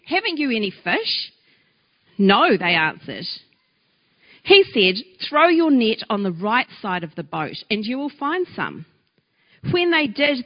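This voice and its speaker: A woman reading a Bible passage aloud at a church service in a clear, measured way. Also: medium pitch, highs cut off. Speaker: scripture reader at a service. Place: church hall.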